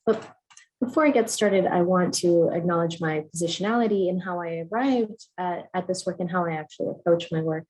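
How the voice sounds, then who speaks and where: A woman from the United States giving an academic conference talk, measured and earnest, with frequent ums and uhs. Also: fairly low pitch, a little mellow, rough recording. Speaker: conference speaker.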